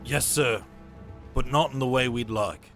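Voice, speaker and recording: Man playing a veteran sergeant, sharp forceful tone, medium pitch, loyal, stoic, respectful, fantasy audio drama. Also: measured pace, soft background music, close to an everyday voice. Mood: dutiful, concerned. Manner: respectful, clipped military speech.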